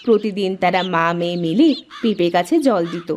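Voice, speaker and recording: A woman's voice: on the low side, brisk, a little mellow, slight background noise. A woman narrator reading a scripted cartoon story.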